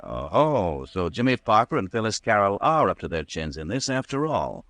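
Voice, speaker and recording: Mystery show announcer: very low voice, lively swoops, dry studio, teasing vintage radio delivery. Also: male voice, normal speed, no room sound. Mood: intrigued. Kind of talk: old-time radio scripted narration.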